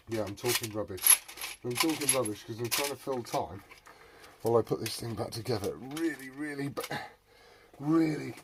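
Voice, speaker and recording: A British man rambling casually and jokingly to viewers while repairing something, swearing often and muttering to himself. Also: mid-range voice. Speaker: hobbyist repairer presenting a video. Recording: noisy home recording with clicks.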